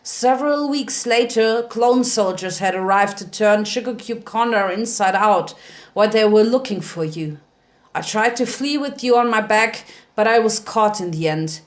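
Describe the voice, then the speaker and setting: A woman's voice: mid-range voice, normal speed, lightly acted. Woman as a guilt-ridden, weary stallion father.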